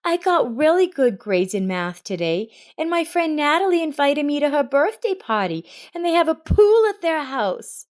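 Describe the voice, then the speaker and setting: Woman voicing a high-pitched, earnest little girl. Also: sing-song, moderate pace, hardly any pauses. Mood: excited, happy. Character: anxious, bitter little girl who later brims with excitement.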